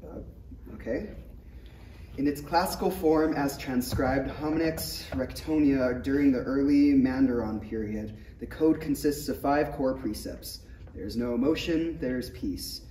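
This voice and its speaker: A man's voice: mid-range voice, unhurried, dark tone, lightly acted. A man playing an earnest, self-doubting young apprentice knight, hesitant and awkward, at a table read. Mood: casual. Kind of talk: table read of a parody script. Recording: echoey room, distant mic, faint noise.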